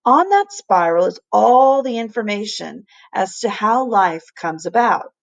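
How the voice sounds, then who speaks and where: Earnest, emphatic professor read by a woman, creaky. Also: medium pitch, lively intonation, highs cut off. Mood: earnest, explanatory. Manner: deliberate, explanatory, emphatic. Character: earnest, kindly, scholarly male professor.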